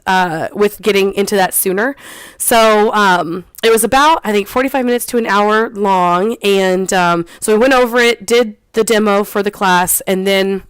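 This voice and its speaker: A young woman rambles through her experience in a relaxed, chatty way, peppered with ums, restarts and small laughs. Her voice is smooth and clear. The sound is dry and close, with no room echo. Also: medium pitch. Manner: laughs lightly between phrases. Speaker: online English teacher. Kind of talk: casual vlog chat.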